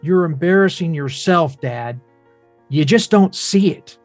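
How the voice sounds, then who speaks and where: A man playing a wounded, earnest adult son who narrates his father's life in a measured, reflective way at a script read. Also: on the high side, expressive, dry recording, soft background music. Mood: exasperated, angry. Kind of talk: dramatic table read, first-person narration.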